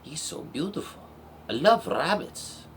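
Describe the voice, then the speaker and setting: A man's voice: on the low side, huge pitch swings, measured pace, slight background noise. A man voicing a proud young princess with dramatic wonder in an improvised story. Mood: wonderstruck. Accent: put-on Spanish.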